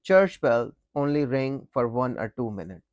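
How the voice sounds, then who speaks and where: A man from Pakistan with a Pakistani accent delivers an opinion monologue in a medium-pitched voice. He explains step by step, deliberately, often restating a point in other words. The sound is dry, with no room echo. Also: big pitch swings, a little mellow. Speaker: commentator.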